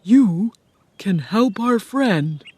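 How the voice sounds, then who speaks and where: A woman voicing a kind, gentle male scarecrow in a storybook reading, speaking calmly and earnestly. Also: medium pitch, slightly rough, heavily acted. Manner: calm, earnest, slow and persuasive.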